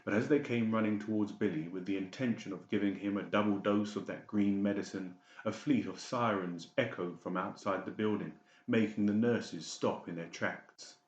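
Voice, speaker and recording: A man's voice: lowish voice, moderate pace, few pauses. A man reading scripted dramatic narration for an audiobook. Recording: muffled, echoey home recording.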